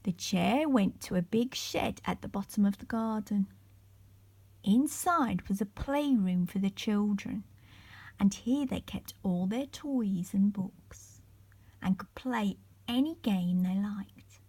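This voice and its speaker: A woman reading a children's bedtime story aloud as an expressive storyteller. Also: mid-range voice, slow pace, husky.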